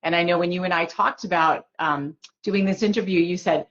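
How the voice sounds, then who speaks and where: Low-voiced woman host, muffled sound. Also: a little fast. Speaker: podcast host. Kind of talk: interview conversation. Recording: dull lo-fi sound.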